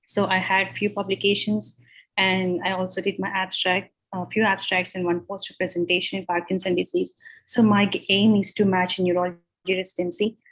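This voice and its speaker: A woman's voice: medium pitch, flat delivery, fairly quick, fairly bright tone, husky, rough recording. A woman from India introducing herself as a research fellow on a video call, earnest, with many fillers.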